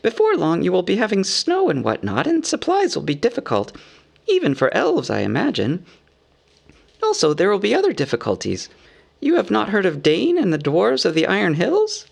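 A man voicing a small, fussy, anxious male hobbit from a storybook, squeaky, polite and rambling in a businesslike way. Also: huge pitch swings, moderate pace, creaky, exaggerated voice. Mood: earnest, businesslike. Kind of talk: storybook character voice.

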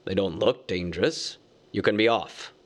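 A man voicing a huge gruff bearded giant of a man. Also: fairly low pitch, moderate pace, voice pushed down, lightly acted. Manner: gruff, growling.

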